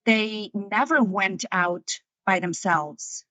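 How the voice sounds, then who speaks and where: A woman's voice: mid-range voice, slow, pushed and bright, dry recording. A woman in her thirties from Switzerland, a documentary filmmaker talking earnestly and repeating words as she thinks. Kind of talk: casual interview chat.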